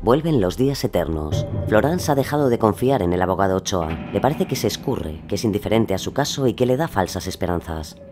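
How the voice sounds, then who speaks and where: Low-pitched male narrator over music. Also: lively intonation, brisk, hardly any pauses. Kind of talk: scripted documentary drama narration.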